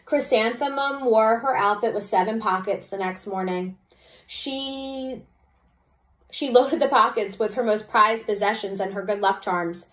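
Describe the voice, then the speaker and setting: A woman's voice: mid-range voice, measured pace, a bit bright, poor audio. A woman, a second-grade teacher, reading a storybook aloud expressively and adding chatty explanatory asides for children.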